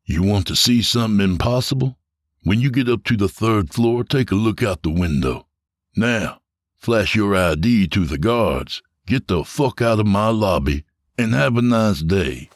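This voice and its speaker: A man's voice: on the low side, lively intonation, a little slow, dry recording, clean studio sound. A man voicing a smug, mocking male officer in an audiobook. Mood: taunting. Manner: mocking, cocky.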